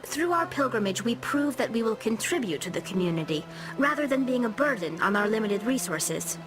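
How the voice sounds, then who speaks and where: A woman's voice: on the high side, big pitch swings, a little fast, over music. A young actress playing an earnest alien engineer in a video game, patiently explaining her people's ways. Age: young adult.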